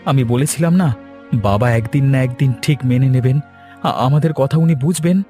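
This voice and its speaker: Young cartoon husband, male performer, gentle, raised pitch, soft music bed. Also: moderate pace, natural voice. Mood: reassuring. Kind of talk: Bengali cartoon dubbing.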